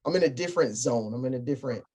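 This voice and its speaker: A man chatting as an interview guest, relaxed and joking as he tells stories about himself. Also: mid-range voice, rapid-fire. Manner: relaxed, jokey storytelling. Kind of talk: casual chat interview.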